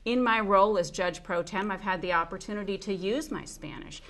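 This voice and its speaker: A woman's voice: mid-range voice, fairly quick, a bit bright. A woman in her forties, answering studio interview questions earnestly and with some fillers. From Puerto Rico and the United States. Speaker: judicial candidate. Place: small studio with dark curtains. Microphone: lapel mic.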